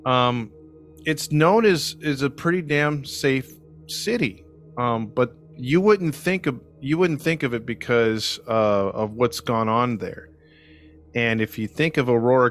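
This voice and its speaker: A man narrating a true crime story on a podcast in a casual, joking, conversational way. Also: medium pitch.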